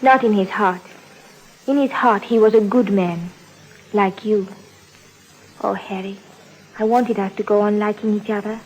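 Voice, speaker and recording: A woman's voice: medium pitch, steady tone, a little slow, long pauses, darkish tone, close to an everyday voice, low-quality recording. Tender, earnest romantic young woman, acted. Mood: pleading, earnest. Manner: tender, pleading, dramatic. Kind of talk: 1950s radio drama acting.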